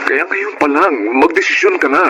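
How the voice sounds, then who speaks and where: A man's voice: a little high, fairly quick, background noise, close to an everyday voice. A man playing a frustrated, insistent fiancé, earnest and reproachful, in a radio drama. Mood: frustrated, pressing.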